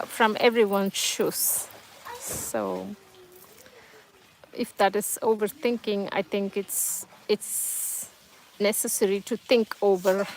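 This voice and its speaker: Slow, soft-spoken woman with a Tamil first language. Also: medium pitch, slight background noise, poor audio. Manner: hesitant, many fillers and restarts, gentle and reassuring. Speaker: livestream host. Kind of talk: casual live-chat monologue, reading comments.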